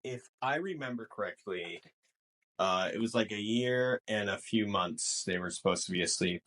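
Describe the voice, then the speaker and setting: Male podcast co-host, fairly low pitch, conversational and explanatory, measured delivery. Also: expressive, a bit bright. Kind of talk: casual movie discussion.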